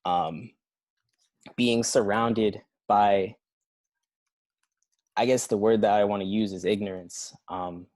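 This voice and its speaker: A man's voice: lowish voice, steady tone, slow pace, pauses often, darkish tone, no room sound. A young man in a casual group discussion, speaking reflectively and hesitantly with many ums. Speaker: participant.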